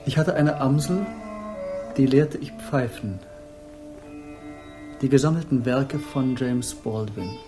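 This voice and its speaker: A man's voice: mid-range voice, slow, pauses often, lightly acted. An actor playing a dreamy male hippie guru and would-be poet, solemn and pretentious, in a 1960s German radio drama. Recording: prominent sitar music behind. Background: sitar music.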